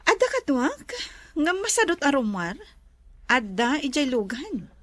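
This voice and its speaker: A woman with an Ilocano accent playing a bossy, haughty, wealthy resort owner in a radio drama, with drawn-out, imperious delivery. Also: sits high, very animated, a bit bright, no room sound, full character voice. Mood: polite.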